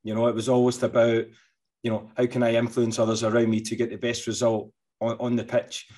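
A man's voice: medium pitch, monotone, fairly quick, darkish tone. A middle-aged man hosting an interview podcast, speaking enthusiastically and repeating words as he sets up his questions. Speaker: podcast host. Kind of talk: interview host, casual.

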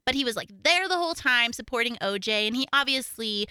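A young woman from Oklahoma with an American accent that occasionally slips into a Southern twang, chatting casually and gigglily as a podcast co-host in a high-pitched voice. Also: fairly quick, smooth, dry recording, clean studio sound. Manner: giggly, animated, informal with frequent "like". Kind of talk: casual true-crime podcast chat.

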